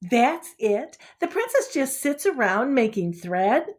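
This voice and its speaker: A woman voicing a prim young girl telling a sentimental fairy tale, expressive and easily indignant, in a storybook read-aloud. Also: fairly high pitch, slow pace, exaggerated voice.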